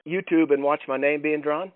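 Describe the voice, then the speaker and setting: A man's voice: a little high, fairly even intonation, moderate pace, no echo. A man chatting casually on the phone as a customer, in a folksy, salty, plain-spoken way. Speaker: customer who won a prize. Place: other end of a phone call. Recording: distant, tinny phone line.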